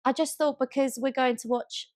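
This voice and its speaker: A woman's voice: high voice, fairly even intonation, moderate pace, a little mellow, dry recording, clean studio sound. A woman co-hosting a podcast in an informal, easygoing conversational way. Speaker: podcast co-host. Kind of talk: casual chat.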